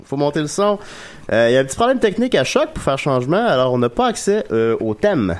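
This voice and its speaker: A man's voice: fairly high pitch, fast talker. A man with a Québécois accent co-hosting a comedy radio show, teasing and playful in his banter. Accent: Québécois French. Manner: playful, teasing, lively inflection. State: says he has the flu. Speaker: co-host.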